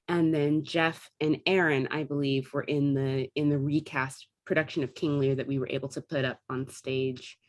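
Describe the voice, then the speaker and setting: A woman's voice: low voice, steady tone, moderate pace, few pauses. A woman chatting casually as an interview guest, explaining things in a talkative way and thinking aloud as she goes. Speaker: guest, theatre artist and classical actor.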